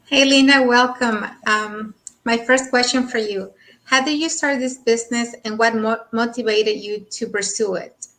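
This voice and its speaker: A woman's voice: on the high side, fairly even intonation, normal speed, fairly bright tone, rough recording. A woman from Mexico speaking informally as a co-host on an online talk show. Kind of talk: informal self-introduction and interviewing.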